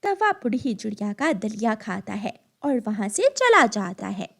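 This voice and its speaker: A woman's voice: mid-range voice, very animated, measured pace, creaky, a bit bright. A woman narrating a scripted children's story.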